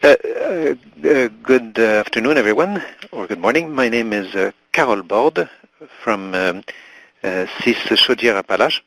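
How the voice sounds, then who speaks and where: A man giving simultaneous interpretation in a webinar, halting and full of fillers and restarts. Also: medium pitch, lively intonation, a bit bright, low-quality recording.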